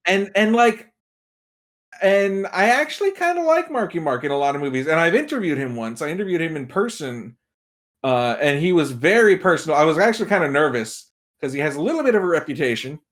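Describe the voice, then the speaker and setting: A man's voice: on the high side, sing-song, fairly quick, a bit bright. A man talking casually as a podcast guest, giving film opinions and acting out imagined reactions as he tells it. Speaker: podcast guest, movie critic.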